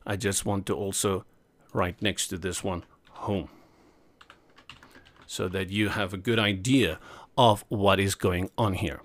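A man's voice: fairly low pitch, fairly bright tone. A man with a South African accent whose first language is Afrikaans, walking through a screen-recorded web design tutorial in a chatty way, correcting himself as he clicks through each step.

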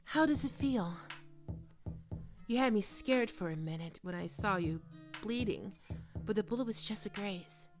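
A woman's voice: mid-range voice, expressive, a little slow, pauses often, faint music behind, lightly acted. A woman playing a grateful, sultry heroine on the run in a spy film love scene. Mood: tender, grateful.

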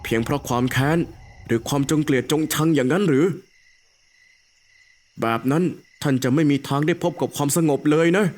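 A man playing a calm, earnest monk in a horror cartoon, admonishing in measured, formal speech. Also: fairly high pitch, lightly acted. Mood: solemn pleading. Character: calm, earnest monk pleading with a vengeful spirit. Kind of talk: dramatic animated horror acting.

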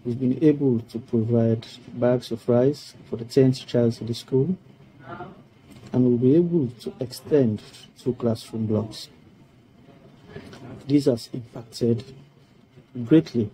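A middle-aged man from Sierra Leone delivers a prepared testimonial over a webcam. He speaks slowly and formally, with a very dark, mellow tone. A faint hiss of room noise sits under his voice. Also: mid-range voice, low-quality recording. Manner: slow, measured, formal delivery. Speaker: project participant giving a testimonial. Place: indoor room. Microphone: webcam on a video call.

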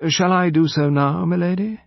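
A man's voice: high voice, fairly even intonation, normal speed, vocal fry, dry recording, lo-fi sound, pitched above the performer's own voice. A man voicing a formal, dignified English butler in an audiobook, precise and deferential. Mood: deferential, composed.

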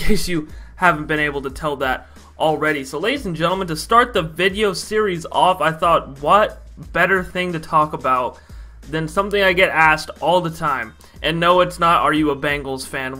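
A man's voice: fairly high pitch, bright, forceful tone. A young man from Idaho with an American accent, casually telling personal stories to camera in a chatty, showman-like way. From Idaho, United States. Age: young adult. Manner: chatty, addresses viewers like a showman. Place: small room at home.

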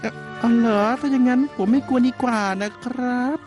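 A woman voicing a shy, gentle young man in a dubbed cartoon, polite and hesitant, often stammering. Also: a little high, steady tone, a little fast, lightly acted. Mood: kind. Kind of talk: Thai dub of a Japanese cartoon. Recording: music bed behind dialogue.

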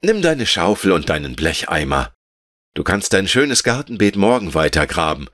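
A man voicing a kind mother who speaks gently and matter-of-factly. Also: low-pitched, very animated, normal speed, no echo, clean studio sound. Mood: calm.

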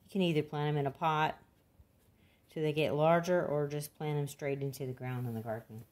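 Low-pitched older woman, folksy how-to. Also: fairly even intonation, brisk, darkish tone. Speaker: gardening demonstrator. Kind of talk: casual how-to narration.

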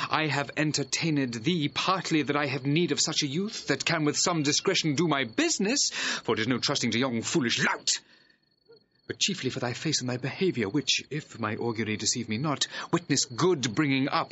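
A young gentleman master, impatient and lovelorn, declaiming classical verse for audio drama. His voice is sharp and animated, with a commanding air. The old recording sounds slightly muffled. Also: mid-range voice, slightly rough. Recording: dull, slightly noisy restored old recording.

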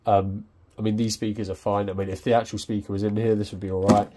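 Low-voiced man unboxing gadgets. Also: flat delivery, fast talker, background noise. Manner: hesitant, lots of ums and restarts. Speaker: tech reviewer. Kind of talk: casual unboxing commentary. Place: indoors at a desk.

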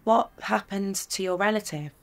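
An actress playing a hopeful young woman who dreams of a stage career, speaking in a slow, wistful, hesitant way. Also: medium pitch, natural voice. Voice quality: sings softly.

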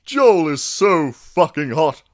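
A man voicing an overdramatic, foul-mouthed teenage goth vampire girl in a drawn-out, exaggerated way for a story reading. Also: fairly high pitch, sing-song. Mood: exasperated, shrill.

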